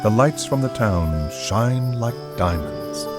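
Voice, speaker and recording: An actor playing a calm, wistful storyteller in a game cutscene, speaking in a poetic, measured, theatrical way. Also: male voice, mid-range voice. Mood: wistful, admiring. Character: calm, wistful male storyteller describing a tranquil village. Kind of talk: scripted game cutscene narration. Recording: loud music behind the voice.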